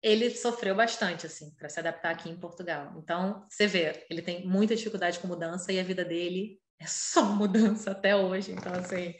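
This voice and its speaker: Fast-talking Brazilian woman in an echoey room. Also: fairly low pitch. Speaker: interview guest. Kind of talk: personal storytelling in a casual conversation.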